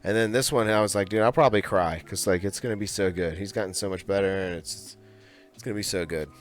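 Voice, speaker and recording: A young man rambles through casual podcast chatter in a lowish voice, full of fillers and restarts. Faint music sits underneath. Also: fast talker. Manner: rambling, loose, filler-heavy. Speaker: podcast co-host. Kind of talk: casual chat.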